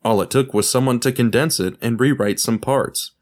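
A man's voice: medium pitch, moderate pace. A man presenting a podcast as its host, reading scripted narration in an explanatory way. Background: music.

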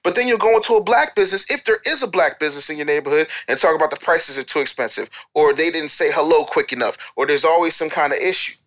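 A man's voice: a little high, fast, hardly any pauses, a bit bright. Emphatic American man telling it straight. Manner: emphatic, storytelling, repeats phrases for effect. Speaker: interview guest. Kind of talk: opinionated casual talk show conversation.

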